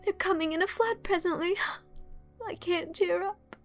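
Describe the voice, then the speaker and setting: A woman's voice: extremely high pitch, normal speed, faint music behind, voice pushed up. A woman voicing a dreamy, dramatic teenage girl student, homesick and tearful, in an audiobook story. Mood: tearful, miserable. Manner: emotional, expressive, wordy. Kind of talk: audiobook character acting.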